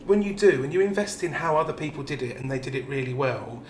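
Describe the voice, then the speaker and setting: A man's voice: a little high, animated, quick pace. British man talking in an echoey room. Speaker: pantomime dame performer. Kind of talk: reflective interview talk. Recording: roomy echo with background noise.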